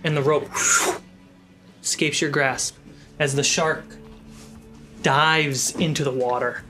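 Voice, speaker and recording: Woman game master for fantasy tabletop narration; low, slow delivery over a clearly audible score. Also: fairly even intonation. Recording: dramatic music under the voice. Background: background score.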